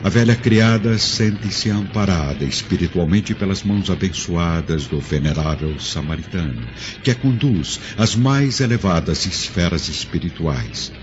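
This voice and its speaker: A man narrating a radio drama in a measured, solemn storytelling manner. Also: deep voice, lively intonation, noisy background. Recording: dull lo-fi audio under loud music.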